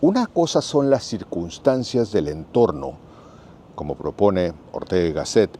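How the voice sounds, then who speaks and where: A Mexican man in his sixties, thinking aloud in a plain, unhurried, conversational monologue for his vlog. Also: low-pitched, very animated, dark tone, a little hiss or hum. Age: 60s. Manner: unhurried, thoughtful, plain-spoken and explanatory. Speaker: vlogger. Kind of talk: reflective casual monologue.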